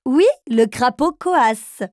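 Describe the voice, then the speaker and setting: A woman's voice: sits high, lively intonation, slow pace, darkish tone, dry recording, studio quality. A woman playing a kind, teacherly grown-up who encourages small children through riddles in a cartoon. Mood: encouraging.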